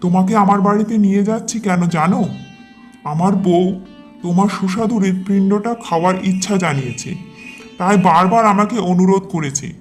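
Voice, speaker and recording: A woman's voice: medium pitch, pitched below the performer's own voice, lightly acted. A woman voicing a foolish, reluctant male crocodile from a children's storybook, with an earnest, emphatic delivery. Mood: earnest, confiding. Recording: music behind the voice.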